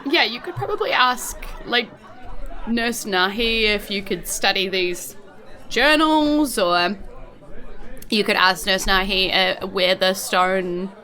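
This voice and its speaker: A woman's voice: mid-range voice, slow pace, pushed and bright, some chatter behind. A woman with a New Zealand accent, casually narrating a tabletop role-playing game as game master.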